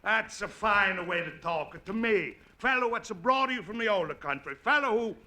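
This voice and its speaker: An actor playing a brash, mocking Italian immigrant neighbor who speaks heavy broken English, in a 1940s radio sitcom. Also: male voice, sits high, expressive, moderate pace, bright, forceful tone, heavily acted. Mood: indignant. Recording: old radio broadcast with faint hiss.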